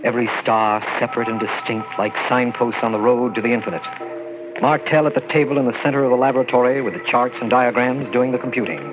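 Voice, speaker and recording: A man narrating as a hushed radio-drama assistant. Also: medium pitch, fast talker, natural voice. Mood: tense. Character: young male lab assistant, narrating his past. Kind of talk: 1940s radio acting. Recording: dull old radio recording under loud music.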